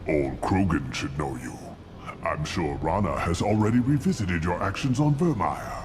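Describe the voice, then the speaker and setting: An actor playing an aged, haughty alien warlord scientist in a video game, speaking with grandiose, deliberate scorn. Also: male voice, deep voice, expressive, a little hiss or hum. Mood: scornful. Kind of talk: dramatic video game acting.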